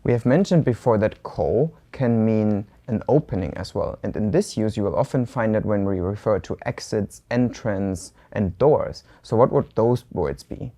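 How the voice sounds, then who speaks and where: Low-voiced woman with slight German accent. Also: moderate pace, few pauses. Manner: thoughtful, translates back calmly. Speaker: co-host learner. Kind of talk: casual lesson dialogue.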